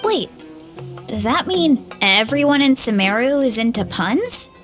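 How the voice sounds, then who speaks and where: A sweet easygoing heroine. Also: female voice, fairly high pitch, very animated, music in the background. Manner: gentle, sweet and unhurried. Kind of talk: video game voice acting.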